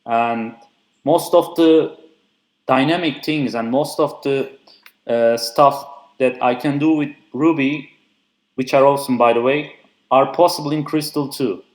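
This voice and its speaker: Young man, mid-pitched and loose, talking shop over an echoey remote feed. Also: a little slow. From Turkey. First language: Turkish. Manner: frequent "uh" fillers, loose and chatty. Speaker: conference speaker. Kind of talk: casual technical talk. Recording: echoey remote call audio.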